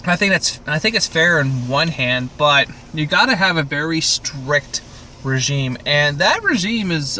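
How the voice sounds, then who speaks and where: A man's voice: a little high, sharp tone. A man co-hosting a podcast, joking and rambling through casual, sweary TV recap chat. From Canada. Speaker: podcast co-host. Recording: clear background noise.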